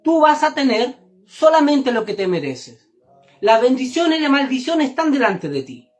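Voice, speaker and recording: A woman's voice: high-pitched, very animated, moderate pace, a little mellow. A woman giving a religious teaching, speaking directly to the listener in an emphatic, didactic way and repeating phrases for stress. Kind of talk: Torah and Kabbalah sermon, direct address to listener.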